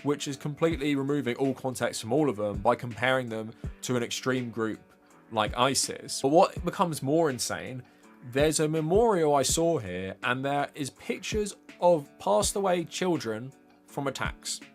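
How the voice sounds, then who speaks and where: A man's voice: medium pitch, animated, normal speed, soft background music. A man with an English accent giving informal, opinionated political commentary as a livestream presenter, speaking in a conversational, emphatic way.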